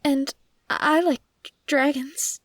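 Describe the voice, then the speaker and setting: Woman acting a high, timid, stammering nymph. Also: fairly even intonation, slow pace, no echo. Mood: shyly smitten. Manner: bashful, hesitant, stammering. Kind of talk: fantasy audio drama acting.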